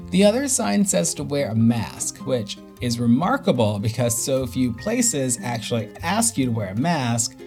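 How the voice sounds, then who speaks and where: A man's voice: mid-range voice, a little mellow. A man talking casually and conversationally as a podcast host.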